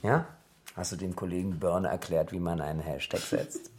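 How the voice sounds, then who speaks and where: Actor as a low-voiced earnest politician. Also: male voice, fairly even intonation, normal speed, close to an everyday voice. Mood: tender. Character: earnest, idealistic local politician and affectionate lover. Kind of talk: naturalistic German radio drama.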